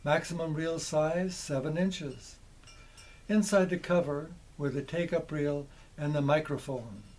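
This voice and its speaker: An older man in his seventies narrating a scripted demonstration in a measured, methodical way. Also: fairly high pitch, darkish tone. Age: older, around 70s. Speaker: presenter of vintage electronics. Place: small indoor electronics workshop.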